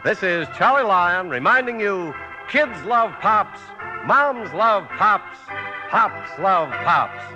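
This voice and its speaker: Animated, high-voiced radio announcer man. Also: takes it slowly. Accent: American. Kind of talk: 1950s radio announcing, scripted. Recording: dull old radio broadcast. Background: music.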